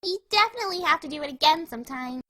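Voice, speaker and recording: A woman voicing a cheerful, excitable young female pony in a cartoon style, bubbly and giggly. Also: high voice, very fast, dry recording, studio quality. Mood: giggly, contented. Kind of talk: cartoon character acting in fan fiction reading.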